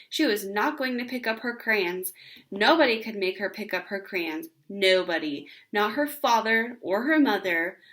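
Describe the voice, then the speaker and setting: A woman reading a children's book aloud as an expressive storyteller. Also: a little high.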